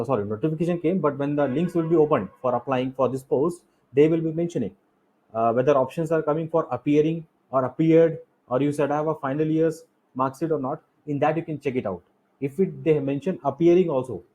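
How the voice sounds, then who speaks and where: Husky Indian man teaching a lesson. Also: mid-range voice, darkish tone, rough recording. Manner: repetitive, emphatic explaining with rhetorical questions. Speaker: exam coaching instructor. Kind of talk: explanatory lecture over slides.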